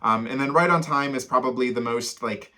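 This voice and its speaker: A man's voice: mid-range voice, fairly quick, bright, forceful tone. A man in a casual, loosely meandering, conversational style, giving commentary as a music reaction host.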